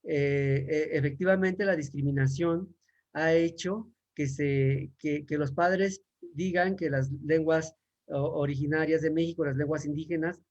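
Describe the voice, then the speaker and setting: A man's voice: fairly high pitch, steady tone, a little slow, a little mellow. A man from Mexico, a university professor, answering questions in an online talk with frequent fillers and restarts. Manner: hesitant, many fillers and restarts. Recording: dull lo-fi sound.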